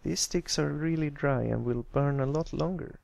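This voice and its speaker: A man's voice: on the high side, normal speed, gravelly, darkish tone, highs cut off, voice pushed up. A man voicing a timid, earnest little pony colt with a hesitant, apologetic manner in a storybook reading. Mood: sheepish.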